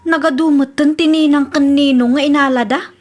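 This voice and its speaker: Woman acting as gossipy village wife, high-pitched voice, nosy, comedy radio drama. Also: steady tone, normal speed, a little mellow, natural voice.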